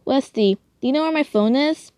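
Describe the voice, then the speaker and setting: A woman's voice: high-pitched, brisk, a little mellow, no echo, studio quality, voice pushed up, lightly acted. Woman as a blunt, hot-tempered protective brother. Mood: questioning.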